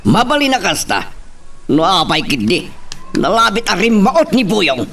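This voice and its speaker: A man playing a gruff, evasive fellow in an Ilocano audio drama, with an Ilocano accent. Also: high voice, huge pitch swings, measured pace, fairly bright tone, exaggerated voice. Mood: brusque, uneasy. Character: Placido, gruff, evasive man bearing bad news. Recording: slightly distant mic.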